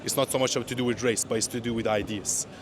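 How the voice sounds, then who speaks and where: An earnest man from Iran speaks his mind in an outdoor interview at a street rally. His pitch is mid-range for a man. He is opinionated and often breaks off to restart a phrase. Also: fairly even intonation, fast talker, slight background noise.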